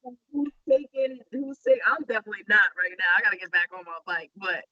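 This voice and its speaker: A man's voice: high-pitched, lively intonation, quick pace, bright, forceful tone, no room sound. A man from Staten Island, chatting loosely as a podcast guest and restarting his sentences often. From Staten Island, New York. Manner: loose, rambling, many restarts. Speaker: guest rider. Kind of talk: casual podcast conversation. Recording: dull lo-fi sound.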